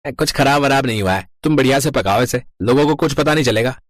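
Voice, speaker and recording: A man's voice: medium pitch, fast talker, dry recording, lightly acted. A man voicing a greedy, bossy roadside eatery owner with a blunt manner in a moral story cartoon. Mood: threatening. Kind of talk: animated moral story dialogue.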